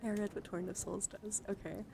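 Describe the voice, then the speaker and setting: A woman hosts a casual ASMR livestream in a soft voice that often slips into a whisper. Her middle-pitched voice has a marked gravelly fry. She chats and reads aloud close to the microphone, with clear noise behind her. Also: steady tone, a little fast. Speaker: live streamer hosting a trading card stream. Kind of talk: ASMR, casual card show-and-tell.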